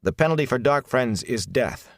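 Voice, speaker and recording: A man's voice: mid-range voice, moderate pace, no room sound, studio quality, voice pushed up, natural voice. Man playing a rigid zealot soldier, swooping intensity. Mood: cold zeal. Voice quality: flat, hard. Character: zealous, merciless male soldier, outwardly deferential.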